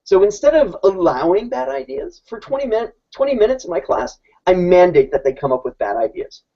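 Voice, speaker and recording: A man's voice: high-pitched, big pitch swings, fairly quick, gravelly. A man, a teacher, explaining how his classroom works in a casual, informal way, often restarting his sentences. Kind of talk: casual presentation. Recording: dull lo-fi recording.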